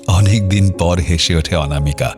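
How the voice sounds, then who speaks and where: Low-voiced male story narrator. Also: a little slow, over music. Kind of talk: dramatic scripted story narration.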